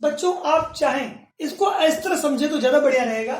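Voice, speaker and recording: A male math teacher giving a classroom lecture, dictating slowly and asking then answering his own questions. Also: extremely high pitch, expressive. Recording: roomy echo from a distance.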